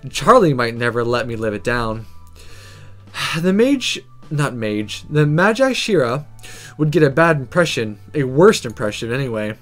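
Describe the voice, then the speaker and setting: Animated man reading and commenting on a game. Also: mid-range voice, unhurried, faint music behind. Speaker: let's player. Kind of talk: reading a visual novel aloud with casual commentary.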